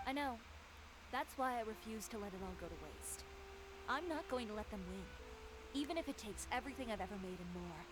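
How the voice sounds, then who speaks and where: A woman's voice: on the high side, expressive, brisk, background noise, natural voice. An actress voicing a poised, determined female fashion designer in a game, cool and dry.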